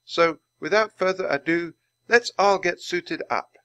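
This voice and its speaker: A man voicing a poised young woman scientist who speaks in a formal, measured, instructive way. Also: sits high, pushed and bright, no echo, voice pushed up, exaggerated voice.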